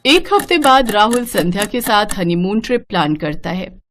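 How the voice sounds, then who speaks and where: A woman's voice: medium pitch, sing-song, moderate pace, fairly bright tone, a little hiss or hum. A woman reading a scripted moral story as its narrator.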